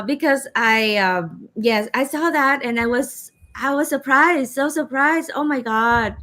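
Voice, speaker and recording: Quick Vietnamese-accented woman interviewer. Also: fairly high pitch. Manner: quick, animated, with many fillers. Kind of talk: interview and translating.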